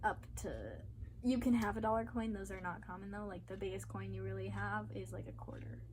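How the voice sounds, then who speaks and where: A woman's voice: mid-range voice, flat delivery, fairly quick. A young American woman, an exchange student, chatting casually with many fillers and little laughs. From USA. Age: young adult. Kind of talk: casual chat. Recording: noisy home recording.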